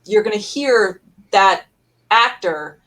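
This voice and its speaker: A woman co-hosting a casual fan podcast, gushing and emphatic, often doubling back on her phrases in a halting way. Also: medium pitch, expressive, a bit bright, low-quality recording. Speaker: podcast co-host. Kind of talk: casual fan panel discussion.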